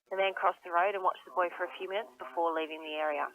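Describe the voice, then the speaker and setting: A woman's voice: lowish voice, steady tone, quick pace. A woman with an Australian accent giving a formal police incident statement over the telephone. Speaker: police spokesperson. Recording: tinny narrow phone line.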